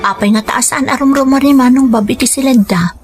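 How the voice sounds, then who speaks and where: A woman's voice: high-pitched, a little fast, loud music behind the voice, lightly acted. A woman playing a caring, attentive younger in-law in an Ilocano radio drama, speaking in a coaxing, solicitous way. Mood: puzzled, concerned.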